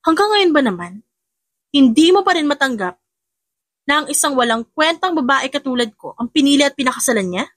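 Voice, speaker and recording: A woman's voice: sits high, big pitch swings, fairly quick, a bit bright, no echo, pitched above the performer's own voice. A woman voicing a proud, feisty young wife, polite but assertive, in an audiobook romance. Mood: defiant.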